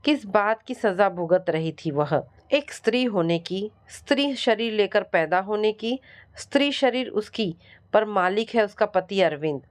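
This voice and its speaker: A woman's voice: medium pitch, lively intonation, a little slow. A woman storyteller giving a scripted, dramatic narration of a family story.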